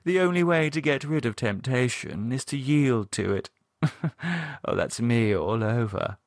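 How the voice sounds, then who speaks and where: A man's voice: medium pitch, animated, unhurried, no echo, clean studio sound. A man voicing a flirtatious upper-class English society wife in an audiobook, effusive and coquettish. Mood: coy, flirtatious. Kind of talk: audiobook character voice.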